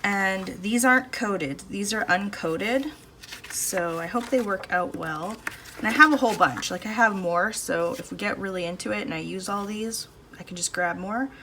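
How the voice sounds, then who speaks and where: A woman's voice: medium pitch, moderate pace. Chatty woman painting in her home studio. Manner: chatty and relaxed, thinking aloud while painting. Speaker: art channel host. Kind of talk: casual painting demo chat. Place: home art studio, indoors. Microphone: phone camera above the table, no external mic. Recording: phone audio with faint background noise. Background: faint street and household noise.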